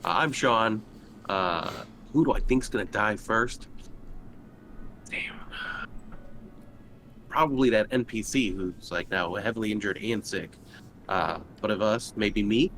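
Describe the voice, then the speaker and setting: Laid-back man with a lowish voice. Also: measured pace, long pauses, fairly bright tone, faint music behind. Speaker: player on a tabletop game show. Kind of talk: casual chat. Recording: faint background noise.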